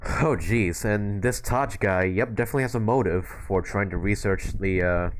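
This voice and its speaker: Guy streamer w lowish voice, chill live game commentary, thinks out loud, swears a bit. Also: normal speed. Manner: thinking aloud, casual swearing. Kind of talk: casual live gameplay commentary.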